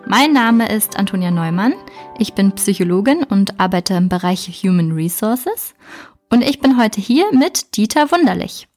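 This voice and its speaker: A woman's voice: mid-range voice, lively intonation, normal speed, a little mellow. Woman, early thirties, podcast host, casual chat, laughs often, faint music. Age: 30s. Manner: laughs often, asks reflective questions.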